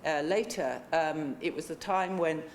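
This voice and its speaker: A woman in her sixties with a notably low voice welcomes an audience at a book launch. She speaks in a measured, polite way, with frequent ums. The sound is roomy, with a faint rumble underneath. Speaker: panel chair and book co-author. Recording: echoey lecture room.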